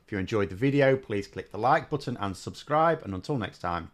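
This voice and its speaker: A man's voice: fairly low pitch, expressive, brisk. A man hosting an interview, asking conversational questions and giving brief acknowledgements. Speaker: host, interviewer. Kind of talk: interview and channel sign-off.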